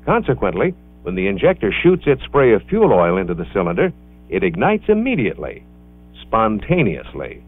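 A man reading scripted educational film narration in a measured, instructional way with careful enunciation, on an old film soundtrack. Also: fairly low pitch, wildly swooping pitch, husky, narrow, tinny audio. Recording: band-limited vintage 16mm film soundtrack.

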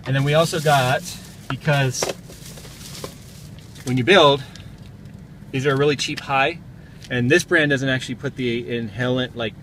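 A man's voice: medium pitch, steady tone, a little slow, long pauses. An American man in his thirties chatting casually and jokingly as a vlog host, in slangy everyday speech. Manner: jokey, slangy. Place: mostly inside a car. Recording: noisy car interior, road noise.

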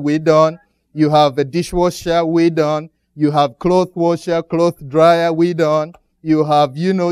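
A middle-aged man from Uganda with a Ugandan accent, speaking as a guest pastor to a church congregation, pausing often as he preaches. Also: on the high side, darkish tone, clear, resonant tone, no room sound, studio quality. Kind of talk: church greeting and sermon.

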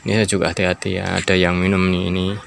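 A man's voice: sits low, flat delivery, fast, creaky, a little hiss or hum. A man narrating a walking tour to viewers in a casual, chatty way, filmed on a handheld phone outdoors. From Indonesia. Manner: chatty, addresses viewers as guys. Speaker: travel vlogger. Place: outdoors at a park by a reservoir. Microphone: handheld phone camera. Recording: loud outdoor ambience.

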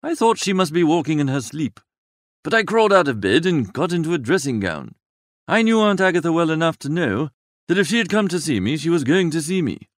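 A man's voice: on the high side, very animated, fairly quick, dry recording, clean studio sound. A man playing a flippant, languid young upper-class idler, narrating a comic audiobook in a wordy, breezy, droll way.

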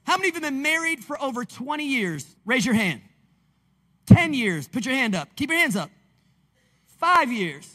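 A man, preaching a lively, conversational sermon on a church stage into a handheld mic. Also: extremely high pitch, normal speed, pauses often. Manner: animated, conversational preaching with call-and-response. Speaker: pastor. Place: large church stage with screens. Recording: long reverb of a large hall.

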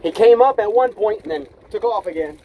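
A man, excitedly narrating a fishing trip from a small boat on the open sea, filmed with an action camera. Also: high voice, brisk, a little hiss or hum, rough recording. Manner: upbeat, narrates while fighting fish, exclamations. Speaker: fishing vlogger. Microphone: action camera, sometimes selfie at arm's length.

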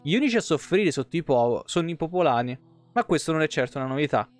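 A man's voice: a little high, very animated, fast talker, no echo. A man, a streamer reading game lore aloud with casual, sweary asides and the odd stumble. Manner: colloquial, profane, stumbles and self-corrects while reading. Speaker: live streamer. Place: small room at home. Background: faint background music.

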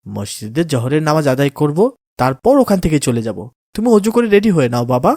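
A man voicing a pious, kindly father in a moral cartoon, giving gentle counsel in a calm, polite, instructive way. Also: on the high side, fast talker, no room sound, clean studio sound. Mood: warm, instructive. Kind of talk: cartoon voice acting in moral story.

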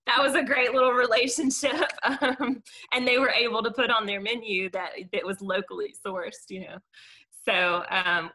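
Bright-voiced, lower-pitched American woman. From Mobile, Alabama, United States. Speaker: garden caretaker, presenter. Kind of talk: informal video-meeting presentation. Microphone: video call.

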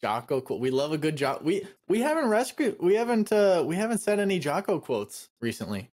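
A man's voice: high-pitched, very animated, a little fast, a little mellow. A man, chatting casually and agreeably as a podcast co-host. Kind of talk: casual chat. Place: home room, indoors.

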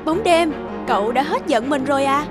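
A kind little cartoon spirit girl, high and gentle. She sounds reassuring, with music playing behind her. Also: expressive, moderate pace. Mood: gentle, consoling. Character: kind, bright young Light spirit girl.

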